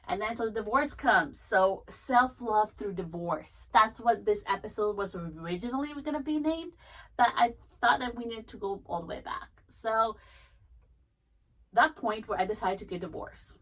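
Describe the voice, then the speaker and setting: A woman's voice: medium pitch, fairly bright tone, recorded from a distance. A woman hosting a podcast, telling her own life story in a frank, chatty way, swearing freely and filling her talk with "you know" and "like". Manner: frank and profane, chatty with many fillers. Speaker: podcast host. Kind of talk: candid personal storytelling.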